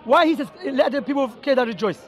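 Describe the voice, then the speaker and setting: A man's voice: very high voice, fast talker. A man debating religion with a passer-by outdoors in a busy park, insistent, repeating himself and reading scripture aloud, recorded on a nearby handheld camera. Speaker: street preacher debating a passer-by. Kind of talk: street debate. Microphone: handheld camera close by. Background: crowd chatter.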